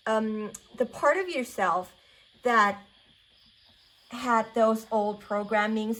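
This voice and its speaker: Slow, soothing Thai-native woman reader. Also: a little high, poor audio. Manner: slow, searching, soothing, with many fillers and restarts. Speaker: tarot card reader. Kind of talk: spiritual pick-a-card reading.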